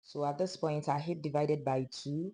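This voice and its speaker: A sewing instructor with a hoarse, fading voice, calmly narrating a pattern-drafting tutorial step by step. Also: medium pitch, normal speed. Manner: calm, step-by-step explaining of measurements. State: hoarse, losing voice.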